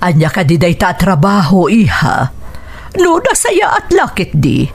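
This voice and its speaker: Questioning mother, gravelly, hugely varied intonation, radio drama. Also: fairly low pitch, wildly swooping pitch, unhurried, heavily acted. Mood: curious, concerned. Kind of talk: Ilocano radio drama acting.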